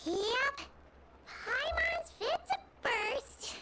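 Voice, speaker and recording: A woman voicing a tiny, childlike, cheerful and greedy fairy companion with a squeaky voice in a video game. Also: animated, drawn-out words, noisy background, exaggerated voice. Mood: gleeful. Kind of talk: video game cartoon-like dialogue.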